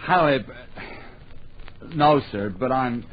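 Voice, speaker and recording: A man's voice: mid-range voice, expressive, slow pace. An actor in a radio drama playing a persistent, earnest young man who wants to write adventure books. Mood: earnest, polite. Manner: earnest, deferential. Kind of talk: old radio drama acting. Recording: dull, hissy old radio recording.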